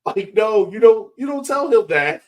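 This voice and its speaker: A man's voice: high voice, lively intonation, normal speed. A man hosting a casual interview, talking in an informal, agreeable, slangy way. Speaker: podcast host, interviewer.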